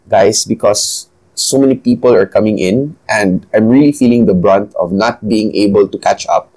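A man's voice: fairly low pitch, darkish tone, low-quality recording. A man in his thirties from the Philippines with a Filipino accent, Bisaya as his first language, talking reflectively to camera as a podcast host, recorded on a lapel mic in a small room. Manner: reflective, conversational, addresses viewers as guys. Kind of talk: solo talk to camera. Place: small indoor room at a desk.

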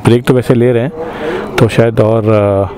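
A man's voice: mid-range voice, level tone, normal speed, crowd noise. A man in his forties vlogging a long motorcycle ride in a loose, chatty way, full of fillers, on an action camera outdoors. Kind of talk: casual travel vlog narration. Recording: loud road and wind noise.

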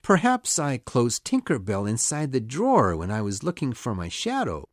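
Brief: a woman playing a cocky ageless boy in a storybook, lowered voice, dry close sound. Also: wildly swooping pitch, moderate pace, dry recording, full character voice. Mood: earnest. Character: boy Peter, eternally young, boastful leader. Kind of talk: storybook reading.

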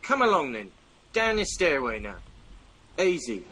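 An actor playing a cruel, greedy servant in a radio mystery, bullying and scornful, ending remarks with "yeah?". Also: male voice, fairly high pitch, sing-song, measured pace, bright, forceful tone, exaggerated voice. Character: cruel, greedy, scheming young working-class male servant. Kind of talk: radio mystery drama acting. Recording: long reverb, faint background noise.

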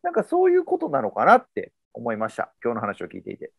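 A Kansai-accented man hosts a relaxed video-call chat, talking quickly with a lively, swinging pitch. His voice comes through dry and close, with no room sound around it. He sums up his guest's points in a loose, easygoing way. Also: vocal fry, husky, dry recording, phone-quality sound. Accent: Kansai Japanese. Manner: relaxed, summarizing, gestures while talking. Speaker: host. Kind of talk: casual video-call interview. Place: indoors at home.

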